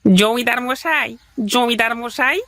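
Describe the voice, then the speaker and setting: A woman's voice: a little high, measured pace, bright, forceful tone, faint music behind. A woman voicing a servile male village sidekick in a cartoon, deferential and eager to report to the boss. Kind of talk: cartoon voice acting.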